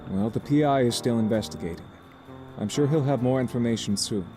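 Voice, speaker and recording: A man playing a concerned, steady uncle in a visual novel, speaking in a measured, reassuring way. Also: mid-range voice, over music, lightly acted. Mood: calm concern. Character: concerned, steady uncle, a grown man in an anime-style game.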